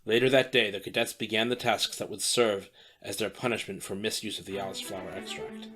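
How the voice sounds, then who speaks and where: A man's voice: fairly low pitch, fairly even intonation, brisk, sharp tone, breathy edge. A man narrating an audio drama in a scripted storytelling style.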